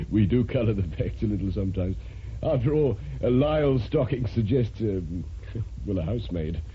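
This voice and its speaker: An actor playing an urbane, wry British crime reporter in an old-time radio drama. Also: male voice, lowish voice, expressive, normal speed, slight background noise, natural voice. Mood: affable, wry. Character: urbane, affable crime reporter narrating his case. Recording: muffled old radio recording, music.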